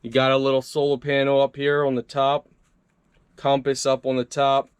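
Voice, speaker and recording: A man's voice: medium pitch, monotone, moderate pace, clean tone, dry recording. A man doing a casual, upbeat hands-on product review, chatting to his viewers as he tries things out.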